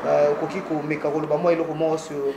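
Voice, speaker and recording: A man with a Congolese accent interviewing a guest conversationally, in a halting way with repeated words. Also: fairly high pitch, flat delivery. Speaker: interviewer, journalist. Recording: roomy echo, clear background noise.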